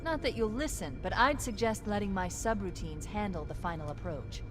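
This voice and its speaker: An actress voicing a witty, confident military artificial intelligence with a wry, teasing manner in a video game. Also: female voice, medium pitch, moderate pace, music in the background, lightly acted. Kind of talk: video game cutscene acting.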